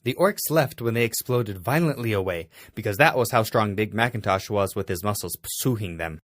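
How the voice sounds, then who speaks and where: A man's voice: on the low side, animated, normal speed, fairly bright tone, no room sound, studio quality. A man reading a story aloud in an exaggerated, mock-serious dramatic way. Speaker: amateur fanfiction reader.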